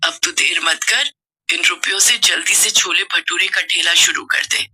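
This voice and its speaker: A woman voicing a gentle, caring old mother-in-law who speaks softly in a cartoon story. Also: on the low side, fairly even intonation, moderate pace, husky, full character voice. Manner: soft, motherly advice.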